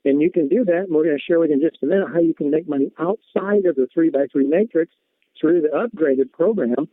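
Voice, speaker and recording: A man comes through a narrow, phone-like conference line with a dry sound. His voice sits a bit high, and he gives an informal sales pitch in a folksy, encouraging, repetitive manner, as a presenter addressing callers. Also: no room sound. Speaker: presenter on a business opportunity conference call.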